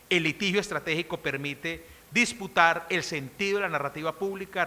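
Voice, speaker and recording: Colombian woman speaker, middle-aged, firm emphatic lecture delivery, piercing bright tone, echoing conference hall. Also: sits low. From Colombia, coffee region. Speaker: conference speaker on criminal law. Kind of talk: formal academic lecture, partly read from a text.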